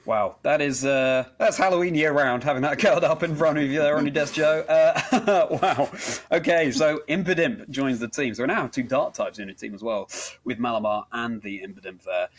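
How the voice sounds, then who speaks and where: A man hosting a conversational interview in an earnest, encouraging and effusive manner. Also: medium pitch. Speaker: interview show host.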